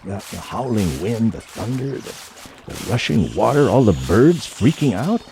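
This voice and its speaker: Guy doing a jumpy hunter in a radio play, lowish voice that leaps all over, loud noisy effects behind. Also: sing-song, a little slow. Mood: uneasy, defensive. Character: spooked, uneasy deer hunter, adult man.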